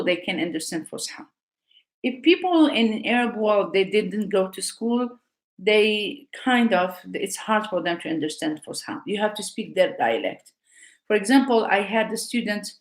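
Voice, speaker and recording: Woman teaching live, lots of ums and restarts, roomy echo. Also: medium pitch. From North Africa. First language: Arabic. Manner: hesitant, many fillers and restarts. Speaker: Arabic teacher. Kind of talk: casual live talk.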